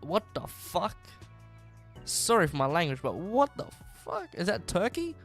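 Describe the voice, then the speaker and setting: A man's voice: high-pitched, huge pitch swings, measured pace, faint music behind. A man with an Australian accent chatting casually and rambling on a live game stream, often trailing off and restarting. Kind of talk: casual live-stream chat while driving.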